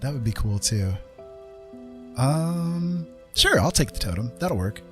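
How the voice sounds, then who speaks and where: A man's voice: mid-range voice, very animated, slow pace, a bit bright. A man hosting a casual game livestream, rambling and thinking aloud as he chats with viewers. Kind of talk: casual livestream chat while playing. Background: game music.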